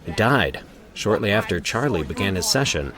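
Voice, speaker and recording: Male narrator as weary doctor, low pitch, measured, resigned, explanatory, storybook drama. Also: very animated, crowd noise.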